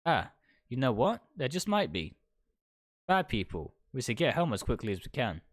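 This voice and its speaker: A man's voice: medium pitch, big pitch swings, moderate pace, no echo, clean studio sound. A man voicing an obsessive, possessive young woman in a visual novel, coaxing yet commanding. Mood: sweetly controlling. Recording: music behind the voice.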